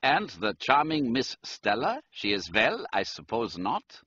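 A storybook German count, stiff and florid in manner. The voice is bright and forceful, swinging widely in pitch, with a slightly rough edge. The recording is a little muffled. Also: male voice, huge pitch swings, unhurried, exaggerated voice. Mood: courtly. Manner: pompous, florid, ceremonious. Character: stiff, formal, effusive German count, royal equerry.

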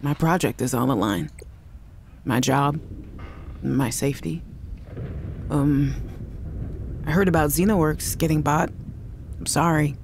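An anxious, apologetic scientist. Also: female voice, low voice, darkish tone, slight background noise. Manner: anxious, apologetic, halting restarts. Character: Sam, woman scientist recording messages to her sister. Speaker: game character. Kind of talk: recorded voice messages in a game.

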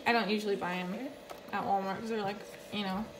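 A woman in her thirties, a mother casually listing her groceries in a relaxed, chatty way, filmed on a phone in her home kitchen. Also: mid-range voice, fast. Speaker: mother filming a grocery haul. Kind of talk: casual grocery haul narration. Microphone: phone camera held over counter. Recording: noisy home phone recording. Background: loud background noise, kids talking.

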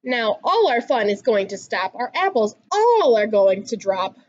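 Young female storybook reader, singsong and expressive, slow pacing, big pitch swings, slightly lo-fi recording. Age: young adult. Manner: animated, singsong rhyming delivery. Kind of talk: expressive picture book read-aloud.

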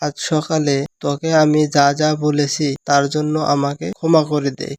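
A man's voice: a little high, completely flat delivery, normal speed, a little mellow, natural voice. A man playing a scolding, two-faced uncle in a cartoon story. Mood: coaxing, apologetic.

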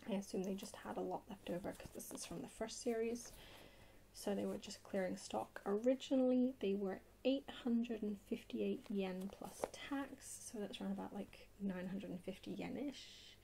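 A woman chatting casually in a soft, hushed, partly whispered ASMR style as she hosts a haul video. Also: medium pitch, moderate pace, gravelly, slight background noise, poor audio. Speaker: video host. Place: indoors at a table.

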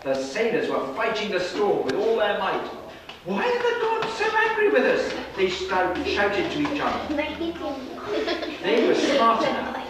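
A middle-aged man reading a story aloud to children in a dramatic, deliberate way, with pauses. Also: a little high. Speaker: storyteller narrating a children's puppet show. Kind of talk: animated read-aloud Bible story narration. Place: indoor children's classroom, seated before small children. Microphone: distant camera microphone. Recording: echoey, noisy room, recorded from far off.

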